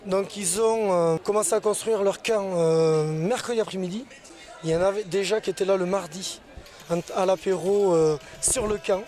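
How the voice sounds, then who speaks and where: A man giving a casual interview about a festival campsite, talking with many pauses and restarts. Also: high-pitched, fairly even intonation, darkish tone, faint music behind. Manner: hesitant, many fillers and restarts.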